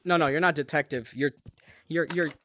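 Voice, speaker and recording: A man's voice: fairly high pitch, fairly even intonation, a little fast, pushed and bright, slight background noise. A man hosting a gaming video, chatting casually and joking with a teammate while he plays. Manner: jokey, chatty, talks to teammate while playing. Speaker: gaming channel host. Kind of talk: casual gameplay commentary. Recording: gunfire and clicks behind. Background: game gunfire.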